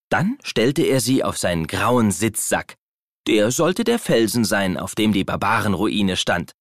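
A man narrating a children's audio play in an expressive storytelling manner. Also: lowish voice, no echo, clean studio sound.

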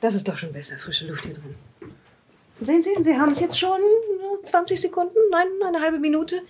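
A woman's voice: very high-pitched, expressive, a little mellow, slight background noise. Woman playing a composed, skeptical interrogator. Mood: coaxing. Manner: matter-of-fact, probing, measured. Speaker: actress in amateur horror audio drama. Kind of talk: improvised audio drama dialogue.

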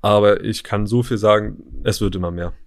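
A man's voice: fairly low pitch, fairly even intonation, brisk. A man from Berlin, guest on a casual podcast interview, answering in short, hesitant bits that trail off. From Berlin, Germany.

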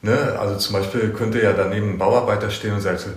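A man's voice: lowish voice, fairly even intonation, fast talker. A man interviewing in a casual, conversational way, hesitantly thinking aloud as he talks. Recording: roomy, echoing room sound.

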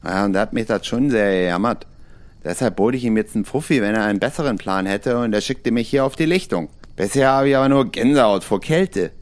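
An actor playing a simple, fearless young fairy-tale miller's son who tells things in a naive, folksy way in an audio drama. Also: male voice, fairly low pitch, brisk, close to an everyday voice. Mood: naive, plain.

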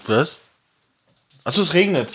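A man with a husky voice, chatting casually as a podcast co-host and retelling a story in an animated way. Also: on the high side, a little slow, noisy background. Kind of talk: casual chat retelling and commenting on an audio drama.

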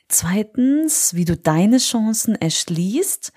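A woman's voice: medium pitch, sing-song, takes it slowly, dark tone, slightly rough. A woman in her forties with a cold, hosting a motivational solo podcast and speaking earnestly and encouragingly to the listener. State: has a cold. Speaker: podcast host. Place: on holiday indoors.

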